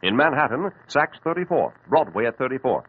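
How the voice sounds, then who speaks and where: A man reading out a list of stores in a brisk, clipped announcing style. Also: medium pitch, very animated, breathy edge. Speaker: radio announcer. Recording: tinny old radio broadcast.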